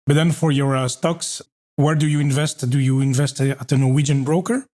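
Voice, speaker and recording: A man from Belgium hosts a podcast interview. He asks questions conversationally and often murmurs agreement. His pitch sits in the middle. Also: steady tone, fairly quick, a little mellow. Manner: conversational, frequent backchannels. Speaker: podcast host. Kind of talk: casual interview talk.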